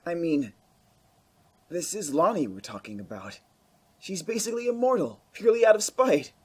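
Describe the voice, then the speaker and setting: A man playing a cheeky, excitable young survivor in an audio drama, with a husky voice. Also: high-pitched, moderate pace, exaggerated voice. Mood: teasing.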